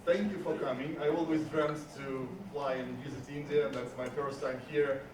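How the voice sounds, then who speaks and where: A man's voice: fairly high pitch, little pitch movement, normal speed, distant mic. A man giving a conference talk on stage, earnest, often restarting his phrases. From Georgia. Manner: earnest, frequent restarts, rises with emphasis. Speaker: conference speaker. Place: conference hall. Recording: roomy hall, speaker away from mic, faint noise.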